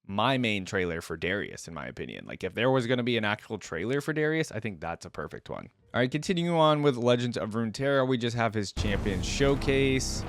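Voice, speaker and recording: A man's voice: mid-range voice, big pitch swings, a little fast, few pauses, no echo, studio quality. A man giving casual reaction commentary as the host of a video.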